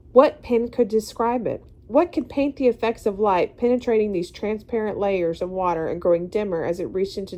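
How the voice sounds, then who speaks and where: A woman's voice: mid-range voice, a little mellow. A woman narrating a classic novel aloud expressively, now and then stumbling over a word. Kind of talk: read-aloud storybook narration.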